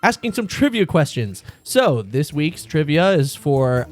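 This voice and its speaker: A man's voice: on the high side, sing-song, unhurried, darkish tone. A man hosting a casual podcast, teasing his friends and running a trivia segment. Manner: teasing, playful. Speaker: podcast host.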